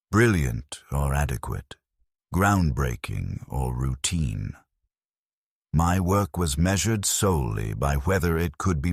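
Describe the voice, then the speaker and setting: A man with a very deep, husky voice narrates a first-person story in a grave, measured way. His pitch moves a lot, giving the telling a lively rise and fall. The sound is dry and close. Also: dry recording.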